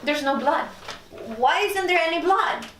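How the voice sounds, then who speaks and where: A woman's voice: very high-pitched, lively intonation, unhurried, a bit bright, exaggerated voice. An actress playing an exasperated, disbelieving woman newly stuck in the afterlife, in a dramedy table read. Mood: shocked, incredulous. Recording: roomy echo, distant microphone.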